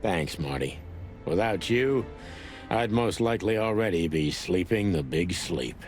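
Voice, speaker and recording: A man's voice: low voice, animated, measured pace, faint music behind. A man playing a weary old rooster detective in a film noir game, terse, brooding and world-weary. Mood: sincere. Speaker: narrator and lead detective. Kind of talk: hardboiled film noir voice acting.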